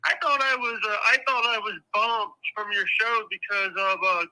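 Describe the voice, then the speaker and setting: A man's voice: high voice, moderate pace, bright, forceful tone. A man calling in by phone, eager and rambling, stumbling over his words. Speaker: caller. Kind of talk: phone call-in chat. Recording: tinny phone line.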